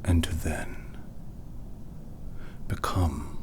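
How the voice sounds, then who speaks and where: A man voicing a solemn, possessive vampire doctor in a breathy, ceremonial ASMR whisper, reverent and coldly tender. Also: rumbling low, fairly even intonation, background noise, full character voice. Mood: reverent, grandiose. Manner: slow, ceremonial, hushed. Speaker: ASMR roleplayer.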